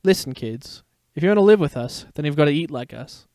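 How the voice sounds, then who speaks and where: A man's voice: fairly high pitch, animated, brisk, dark tone, dry recording, studio quality. A man voicing a wisecracking, bossy cartoon meerkat with a brash, showy manner. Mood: persuasive.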